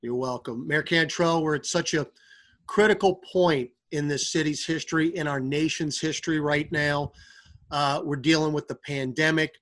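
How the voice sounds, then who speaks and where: A man's voice: fairly high pitch, fairly even intonation, normal speed, a bit bright. A man hosting a podcast, interviewing guests in a polished sports-broadcaster style.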